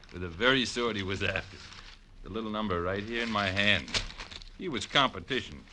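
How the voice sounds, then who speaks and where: An actor playing a menacing, gloating crook who bullies and threatens in slangy 1940s radio crime drama style. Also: male voice, on the low side, normal speed, pauses often, pushed and bright. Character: menacing male killer and crook, sneering, bullying, gloating. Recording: noisy, clicky vintage radio recording.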